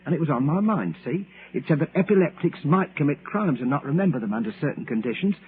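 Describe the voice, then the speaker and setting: A man's voice: a little high, lively intonation, fast talker, lightly acted. An actor playing a timid, earnest, middle-aged wrongly accused man, hesitant and fussily polite, in 1940s radio drama style. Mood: earnest, insistent. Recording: noisy old radio recording, narrow band.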